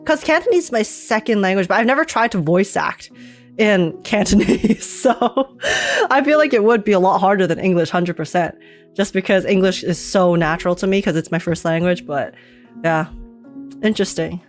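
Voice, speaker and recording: Role: live streamer. Sex: woman. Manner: rambling, filler-heavy chat with frequent laughter. Pitch: medium. Backing: faint music. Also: animated, normal speed. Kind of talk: live game reaction chat.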